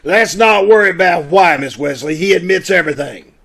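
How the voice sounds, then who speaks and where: A man's voice: high-pitched, a little fast, a bit bright. A man playing a blustering, bossy police inspector in the style of a 1940s radio drama. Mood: accusing, bossy. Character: blustering male police inspector, accusing and indignant. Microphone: video call.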